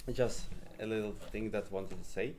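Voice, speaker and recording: A man player giving a nervous, halting timed speech with many pauses and restarts. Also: on the low side, steady tone, darkish tone, slight background noise. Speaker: tournament player.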